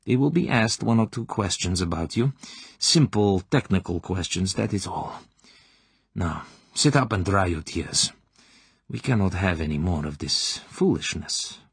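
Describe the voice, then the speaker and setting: A cruel, falsely motherly Soviet colonel, put on by a man reading an audiobook, coaxing in an oily, smooth way. The voice is deep and gravelly with a husky, breathy edge, sometimes sinking to a whisper. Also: lively intonation, a little slow, no echo, heavily acted. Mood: cold, threatening. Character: cruel middle-aged female Soviet colonel interrogator.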